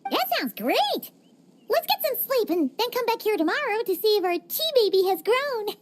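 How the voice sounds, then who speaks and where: A woman voicing a tiny, excitable female fairy-like companion in a game cutscene, over game music. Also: very high-pitched, normal speed, background noise. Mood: excited. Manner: bubbly, excitable. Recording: game music playing underneath.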